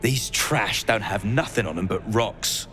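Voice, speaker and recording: A man's voice: lowish voice, unhurried, fairly bright tone, soft background music. A man voicing an irritable dwarf overseer in a video game, curt, coarse and dismissive. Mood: surly, annoyed. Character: surly, irritable male duergar digging overseer. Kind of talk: video game character acting.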